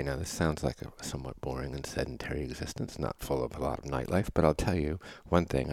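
A man's voice: extremely low pitch, gravelly, darkish tone, no echo. A man hosting a podcast, talking thoughtfully in a solo reflection and asking rhetorical questions. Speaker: podcast host.

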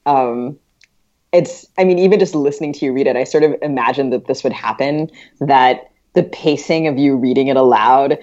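A woman's voice: a bass voice, moderate pace, a little mellow. A woman co-hosting a podcast, interviewing in a thoughtful, discursive conversational way. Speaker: podcast co-host. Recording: dull lo-fi recording.

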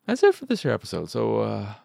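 A man's voice: on the low side, huge pitch swings, quick pace, creaky, darkish tone, no echo, clean studio sound. A man hosting a gaming podcast alone, talking in an informal, playful, self-deprecating ramble and sounding tired. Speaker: solo video game podcast host. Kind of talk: casual podcast sign-off, rambling.

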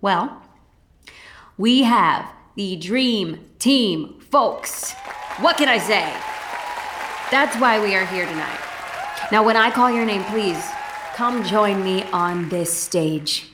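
A woman playing an effusive, theatrical Broadway director giving a gushing, grandiose speech with dramatic pauses. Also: mid-range voice, close to an everyday voice. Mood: grandly grateful. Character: effusive, theatrical female Broadway director addressing an opening-night crowd. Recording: echoey stage microphone with noisy crowd.

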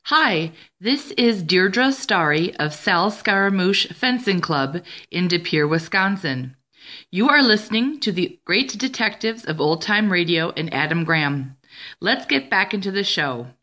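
Bright, crisp-edged woman reading a promo. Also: medium pitch, animated, measured pace. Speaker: listener giving a show promo. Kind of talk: scripted station identification.

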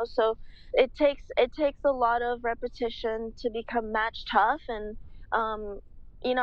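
A woman in her twenties, a pro tennis player, giving measured, unhurried interview answers with many fillers. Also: a little high, no room sound, dull audio. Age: 20s.

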